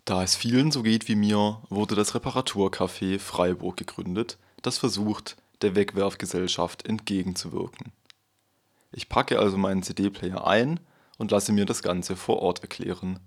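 Husky low-voiced male reporter. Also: a little slow. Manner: calm first-person storytelling, then interviewing. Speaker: radio reporter. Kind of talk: scripted radio feature narration.

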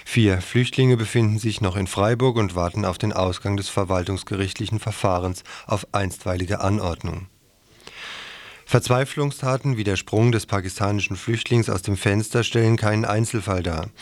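A man's voice: on the low side, normal speed, no echo. Dry-sounding male radio newsreader. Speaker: radio news presenter. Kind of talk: scripted news reading.

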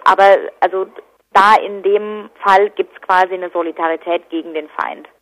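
A woman's voice: mid-range voice, fairly even intonation, moderate pace, a bit bright. A woman giving spontaneous interview answers as an activist. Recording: dull, lo-fi telephone line.

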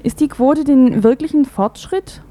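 A woman's voice: high-pitched, a little slow, mellow, a little hiss or hum. A woman radio interviewer putting questions in a conversational way.

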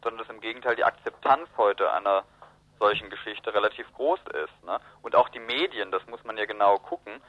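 A man's voice: fairly low pitch, fairly quick. A man giving analytical, discursive political commentary as a guest in a radio interview. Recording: roomy, muffled radio interview.

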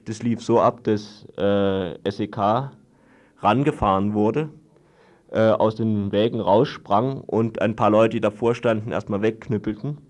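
A man giving an unscripted radio report, explaining things haltingly in long-winded sentences. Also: lowish voice, fairly even intonation, darkish tone. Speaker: guest reporting on a court trial.

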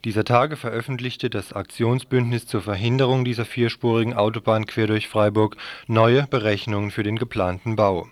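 A male news reader narrates a scripted report. His pitch is somewhat low. The recording is dry and free of room sound. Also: steady tone, moderate pace, hardly any pauses, dry recording.

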